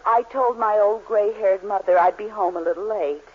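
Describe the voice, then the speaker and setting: A woman's voice: medium pitch, normal speed, husky, natural voice. An actress playing a flirtatious, hard-boiled female con artist in a 1940s radio comedy. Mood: sultry, flirtatious. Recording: muffled, faintly noisy 1940s radio recording.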